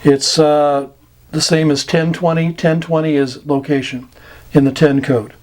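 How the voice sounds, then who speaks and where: An American man giving an informal instructional talk, plain-spoken and pausing between short phrases. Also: a little high, fairly even intonation, a little mellow. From United States. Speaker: amateur radio instructor. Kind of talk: informal slide lesson explaining radio slang.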